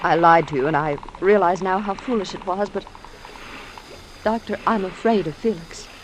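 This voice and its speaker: A woman's voice: lowish voice, normal speed, natural voice. An American woman acting an anxious, ambitious secretary in an old-time radio suspense drama, with an earnest, melodramatic delivery. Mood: fearful, confessing. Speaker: actress playing the secretary. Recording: vintage radio drama, faint background noise.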